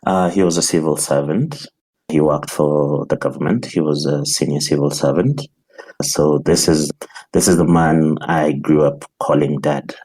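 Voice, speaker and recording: Male podcast guest from Nairobi, Kenyan accent, very deep and husky, telling personal memories in interview. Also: fairly even intonation, a little slow, darkish tone, low-quality recording.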